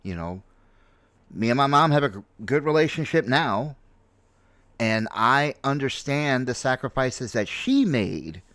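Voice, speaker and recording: An American man in his late forties talks through his personal views as a streamer would. His delivery is slow and halting, with reflective repetition for emphasis. The sound is dry and close, with very little room in it. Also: medium pitch, expressive, fairly bright tone, no echo. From New York City, United States. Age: late 40s. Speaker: streamer, content creator. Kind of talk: unscripted personal opinion monologue.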